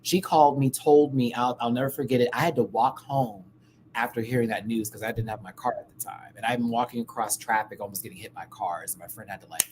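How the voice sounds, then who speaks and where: A man's voice: mid-range voice, steady tone, fast talker, a little mellow, a little hiss or hum, low-quality recording. An American man hosting a livestream, telling personal stories in a candid, chatty way with slang and frequent asides. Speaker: livestream host. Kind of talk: casual personal storytelling on a livestream.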